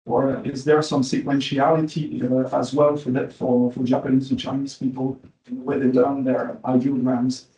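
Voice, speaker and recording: A man in the audience asking a long question at a talk, with many pauses and restarts. English is not his first language. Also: medium pitch, level tone, mellow, echoey room, poor audio. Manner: halting, many fillers and restarts.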